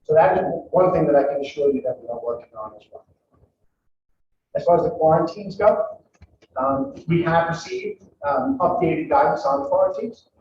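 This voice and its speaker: A man's voice: a little high, lively intonation, moderate pace, background noise. A man, a school district leader, giving a public presentation to families in a conversational way, with many ums and restarts. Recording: echoey hall, far from mic, dull.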